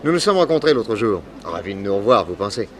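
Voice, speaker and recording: An actor playing an urbane, curious detective, amiable and a little wary, in a mid-century French radio drama, with a teasing, conversational delivery. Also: male voice, lowish voice, big pitch swings, fast talker, a little hiss or hum. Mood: admiring.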